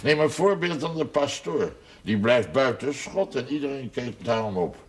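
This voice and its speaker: An actor playing a blunt, self-assured village man who gives gruffly kind advice in a television period drama. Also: medium pitch, lively intonation, moderate pace, natural voice. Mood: gruff, advising. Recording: noisy old TV soundtrack.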